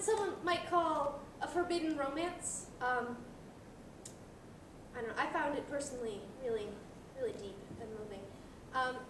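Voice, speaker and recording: A woman giving an informal, self-deprecating, hesitant stage introduction as a student director. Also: high-pitched. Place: theatre hall. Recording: echoey hall, distant mic, noisy.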